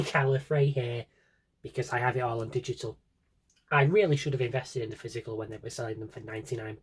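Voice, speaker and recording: A man's voice: medium pitch, husky. A British man chatting casually through a vlog-style review, wry and opinionated, with rambling asides. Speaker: vlogger showing a collection.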